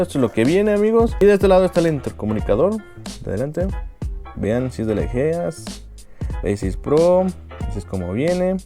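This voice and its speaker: A man with a Mexican Spanish accent casually unboxing and explaining motorcycle gear, chatty and full of slang and filler phrases. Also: medium pitch. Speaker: moto vlogger.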